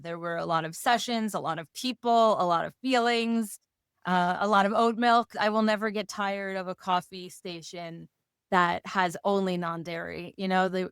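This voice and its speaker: A woman co-hosting a podcast in a casual, playful way, slightly hoarse and given to jokes and lists. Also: lowish voice, fairly bright tone, no echo, studio quality. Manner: playful, jokey, talks in lists. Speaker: podcast co-host. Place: Rochester area.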